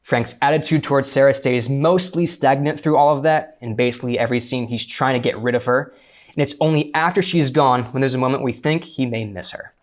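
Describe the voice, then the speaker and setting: A man's voice: medium pitch, fairly quick. A man speaking as a script consultant, giving a methodical, articulate prepared critique in a panel discussion.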